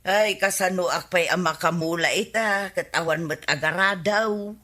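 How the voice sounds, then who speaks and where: Aggrieved village wife, Filipino accent, forceful bright voice, plaintive complaining, radio comedy drama. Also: female voice, lowish voice, moderate pace.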